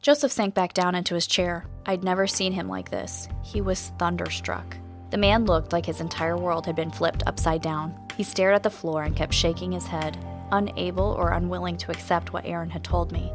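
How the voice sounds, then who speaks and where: Casting: actress as a sincere, questioning female radio host and podcaster, slightly low register, for a scripted mystery drama. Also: normal speed, close to an everyday voice. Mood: pensive. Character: earnest, doubting woman radio host and investigative podcaster. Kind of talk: scripted audio-drama narration and dialogue. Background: music.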